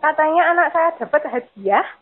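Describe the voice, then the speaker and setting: Woman acting out a high-voiced, credulous mother on the phone. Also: animated, a little fast. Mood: curious. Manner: polite, questioning. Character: prank caller's credulous, grateful mother. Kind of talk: prank phone call. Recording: dull, noisy phone line.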